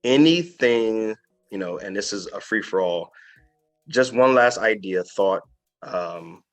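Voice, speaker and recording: Low-voiced man, emphatic motivational podcast host. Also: expressive, slow, faint music behind. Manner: earnest, emphatic motivational delivery with repeated phrases. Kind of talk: inspirational podcast interview.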